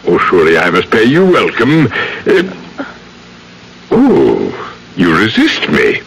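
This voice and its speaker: An actor playing a falsely genial older judge in a radio drama, unctuous and pompous with menace underneath. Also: male voice, fairly low pitch, wildly swooping pitch, slow, creaky, fairly bright tone, breathy edge, exaggerated voice. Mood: oily, genial. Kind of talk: old-time radio drama acting. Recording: noisy old radio drama recording.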